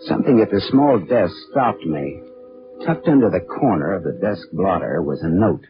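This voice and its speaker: A hardboiled American male detective in a vintage radio drama, low-pitched and deadpan. He is tough and wry with a wisecracking edge. The recording is a muffled old broadcast with prominent music. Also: normal speed. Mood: wry, brooding. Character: wry, tough male private detective narrating his case. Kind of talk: 1940s hardboiled radio drama narration and dialogue.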